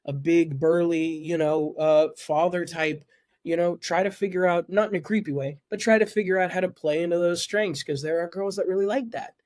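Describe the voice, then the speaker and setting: A young man in his twenties with a high voice chats as a podcast guest. He often stumbles and repeats words before getting a thought out. His voice is recorded dry and close. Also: steady tone, moderate pace, a little mellow, no echo. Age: 20s. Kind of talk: casual podcast chat.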